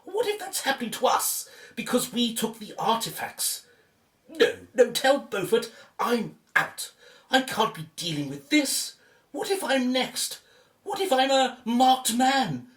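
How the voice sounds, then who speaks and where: A woman's voice: a little high, very animated, unhurried. A woman acting a shaken, superstitious expedition colleague in an audio drama, agitated and dramatic. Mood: panicked. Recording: roomy echo, distant from the mic.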